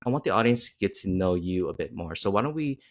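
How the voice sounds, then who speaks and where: A man hosts an interview in a very deep, low voice. He feels his way through his questions, restarting phrases and leaning on fillers as he goes. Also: animated. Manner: halting, searching phrasing with many fillers. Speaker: host. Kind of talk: interview hosting.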